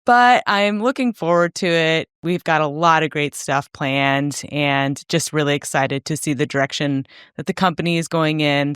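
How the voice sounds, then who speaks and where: A woman's voice: low voice, fairly bright tone, smooth, no echo, studio quality. A woman co-hosting a podcast, talking in a loose, chatty way full of casual fillers. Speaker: podcast co-host. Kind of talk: casual chat.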